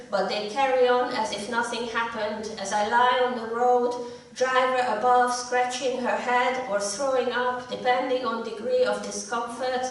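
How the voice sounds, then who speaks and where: A middle-aged woman with a slightly croaky voice, reading her poetry in a measured, deliberate way at a live event. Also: on the high side. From Hungary. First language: Hungarian. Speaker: poet. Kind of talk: live poetry reading with short spoken introductions. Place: indoor live event room. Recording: echoey room, distant mic.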